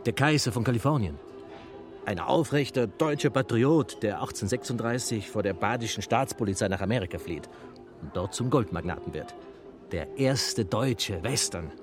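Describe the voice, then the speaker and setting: An actor playing an ambitious, proud and evasive mountain-born filmmaker in a German radio play. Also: male voice, fairly low pitch, normal speed, over music, lightly acted. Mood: enthusiastic pitching.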